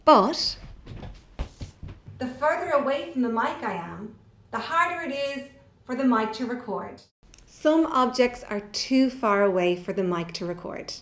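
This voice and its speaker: A middle-aged woman presenting a children's workshop in a clear, deliberate, expressive way. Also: fairly high pitch. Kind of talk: scripted lesson presentation for children. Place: small indoor room. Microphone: microphone on the desk close by.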